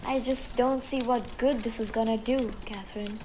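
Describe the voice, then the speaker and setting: Young woman as a meek, high-voiced loyal friend. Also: steady tone, normal speed, a little mellow, slight background noise, close to an everyday voice. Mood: apologetic. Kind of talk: high school radio drama acting.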